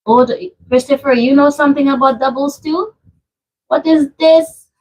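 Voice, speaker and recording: A woman's voice: high-pitched, fairly even intonation, normal speed, a little mellow, rough recording. A woman from Trinidad with a Trinidadian accent, chatting casually on a live stream at home and reading out viewer comments in a playful way. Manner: chatty and playful, laughing often. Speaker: vlogger hosting a live stream.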